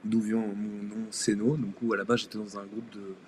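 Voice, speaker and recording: Low-voiced hesitant man from Denmark. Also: little pitch movement, moderate pace, a little mellow, a little hiss or hum. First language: Danish. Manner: hesitant, many fillers and restarts. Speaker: guest illustrator and tattoo artist. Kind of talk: casual live-stream chat.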